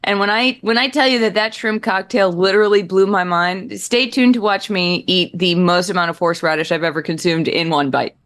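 A woman co-hosting a sports talk show, candid and quick to react in casual banter. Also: mid-range voice, fairly even intonation, fairly bright tone. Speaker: co-host.

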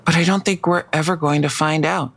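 Audio drama detective: weary, guarded, hesitant, with plenty of vocal fry. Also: female voice, low-pitched, fairly even intonation, fairly quick, a bit bright. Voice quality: creaky. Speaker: actor in a fiction podcast. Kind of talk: naturalistic audio drama acting.

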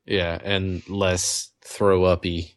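A man's voice: low voice, flat delivery, very slow. A tipsy man in his early thirties, goofy and full of questions on a casual podcast. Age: 30s. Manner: curious, goofy, asks questions. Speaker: podcast co-host. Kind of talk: casual drunk chat.

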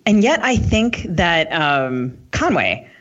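A woman's voice: lowish voice, very animated, slow pace. A woman co-hosts a podcast in a casual, thoughtful style. Her phrasing circles tentatively, and the recording sounds slightly muffled. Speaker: podcast co-host. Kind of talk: casual, thoughtful interview chat.

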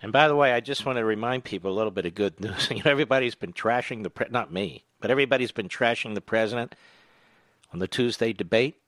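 Talk radio host guy, punchy and bright, dry close mic. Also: fast, dry recording. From Philadelphia. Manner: emphatic, animated, rising pitch on introductions.

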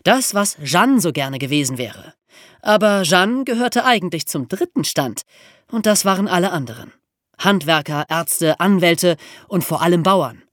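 A woman explaining things as a podcast host in a lively educational storytelling style. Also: lowish voice, moderate pace, no room sound, studio quality.

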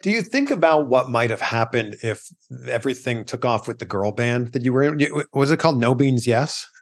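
Male interview host, average pitch, conversational delivery. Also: lively intonation, brisk.

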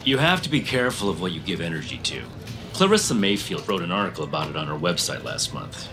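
An actor playing a smug, chummy corporate boss in a comedic audio drama, pompous and patronizing. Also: male voice, sits low, sing-song, quick pace, background noise. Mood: patronizing, brisk.

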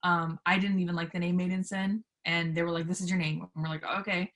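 Chatty, playful woman with a lowish voice. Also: quick pace, highs cut off. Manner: animated, playful teasing, swears casually. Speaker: guest, professional wrestler being interviewed. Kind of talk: casual, chatty interview anecdotes.

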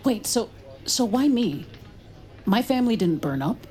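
A woman's voice: on the high side, sing-song, a little slow, dark tone, soft background music. A woman playing a guarded, shaken young woman haunted by loss in an audio drama, hesitant and tense. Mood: troubled. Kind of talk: naturalistic audio drama acting.

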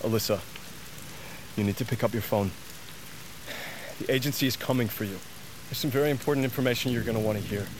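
A man's voice: mid-range voice, quick pace, long pauses, lightly acted. A man playing an urgent, secretive colleague leaving a warning on voicemail in an audio drama. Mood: urgent, anxious. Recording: voicemail with clear rainy background noise.

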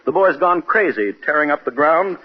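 A man playing an irritable, selfish husband and scientist in an old-time radio drama, impatient and blustery, with a husky voice. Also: a little high, expressive, moderate pace, slight background noise, natural voice. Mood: irritated, blustering. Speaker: radio actor. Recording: muffled old radio recording.

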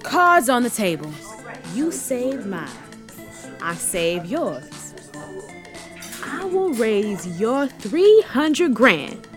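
High-voiced brash American woman hustler. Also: sing-song, drawn-out words, pauses often, over music, exaggerated voice. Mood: persuasive, cocky. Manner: slangy, bold, swaggering, teasing. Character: brash, persuasive woman hustler urging friend into crime. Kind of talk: audio fiction buddy comedy acting.

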